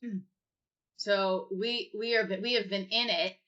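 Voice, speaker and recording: A woman's voice: mid-range voice, fairly even intonation, unhurried, a bit bright. A woman hosting a casual livestream chat, asking loose, rambling questions with a self-deprecating streak. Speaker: livestream host. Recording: dull lo-fi stream audio.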